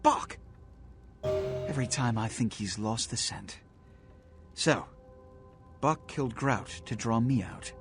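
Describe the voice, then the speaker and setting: An actor playing a haughty, scheming vampire prince in a video game, speaking in a formal, commanding, theatrical way. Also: male voice, medium pitch, expressive, slow, pauses often, over music. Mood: alarmed. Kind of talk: video game character acting.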